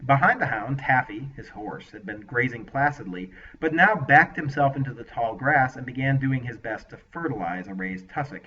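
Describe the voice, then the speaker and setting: A man narrating his own story in a bright, forceful voice. He reads evenly and steadily. The recording sounds slightly off-mic, with a dull, lo-fi sound. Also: medium pitch, moderate pace, hardly any pauses, recorded from a distance. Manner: relaxed, hesitant chat with fillers after the reading. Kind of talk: audiobook narration.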